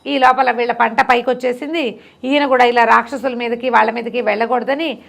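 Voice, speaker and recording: A middle-aged woman storyteller with a fairly high voice touched with creak. She tells folk tales conversationally, repeating words for emphasis and stepping aside to spell out the moral in simple terms. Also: steady tone, brisk, a bit bright. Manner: didactic, explaining the moral simply.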